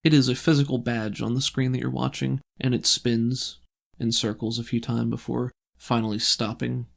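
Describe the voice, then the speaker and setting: A man narrating a tabletop game as game master, in a measured, descriptive storytelling manner. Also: mid-range voice, little pitch movement, creaky.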